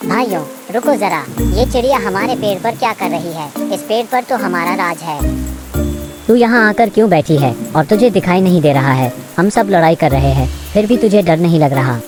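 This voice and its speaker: A woman's voice: lowish voice, lively intonation, normal speed, lightly acted. A woman voicing a domineering, scolding, quarrelsome crow in a children's cartoon story. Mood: bossy, hostile. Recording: loud music behind.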